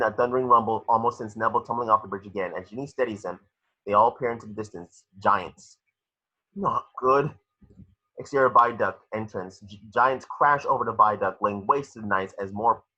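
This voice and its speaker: A man narrates action scenes from a screenplay in a low voice. The tone is very bright and forceful with a husky edge, and the recording is a little dull and lo-fi. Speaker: stage directions narrator. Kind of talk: dramatic screenplay read-through.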